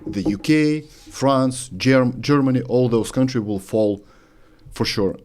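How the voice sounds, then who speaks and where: A man from Lithuania, hosting an interview in earnest, slightly halting English. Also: mid-range voice, darkish tone. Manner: earnest, slightly halting, non-native phrasing. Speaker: host. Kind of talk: interview questions.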